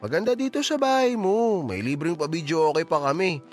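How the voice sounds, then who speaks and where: Man playing a high-pitched sweet-talking young boyfriend. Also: lively intonation, a little fast, soft background music, lightly acted. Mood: cajoling. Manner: wheedling, coaxing, teasing. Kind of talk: Tagalog romance audio drama acting.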